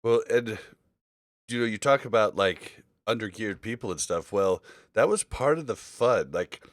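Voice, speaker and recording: A man's voice: fairly low pitch, animated, measured pace, dry recording. A man hosting a casual gaming podcast, talking in a rambling, informal way. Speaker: podcast host.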